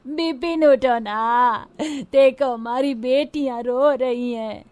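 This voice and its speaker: A woman playing a poor, grieving widowed mother of five in a story, sorrowful and drawn-out. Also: high voice, mellow, dry recording, dull audio.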